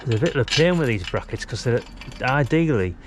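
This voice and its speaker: A man's voice: mid-range voice, lively intonation, brisk. A British man giving a casual, colloquial hands-on DIY tutorial while he works. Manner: informal, colloquial, talking while working. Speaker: DIY vlogger, radio hobbyist. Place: outdoors at a house's brick wall. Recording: clear background noise, outdoor work ambience.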